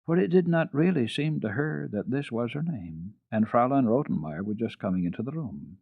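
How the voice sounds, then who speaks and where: A man telling a children's story as a dramatic reading, expressive, measured and deliberate. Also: medium pitch, vocal fry. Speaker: storyteller, radio host. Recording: dull, roomy old radio recording.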